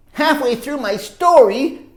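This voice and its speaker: Expressive, slow-paced woman storyteller in an echoey room. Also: high voice. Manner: expressive, dramatic, addressing young listeners. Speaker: children's storytime teacher. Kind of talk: animated read-aloud of a children's picture book with asides.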